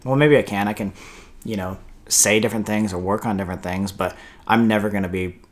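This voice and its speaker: A man's voice: on the low side, steady tone, a little fast. A man in his early twenties with an American accent, co-hosting a podcast in a casual, informal conversational style. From Kansas, United States. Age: early 20s. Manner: informal, uses "like" often. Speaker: podcast co-host.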